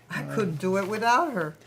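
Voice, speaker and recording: A woman's voice: medium pitch, animated, measured pace. Actress as confident lady company founder at a staged reading, gracious but shrewd, bit of room noise. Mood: confident, fond.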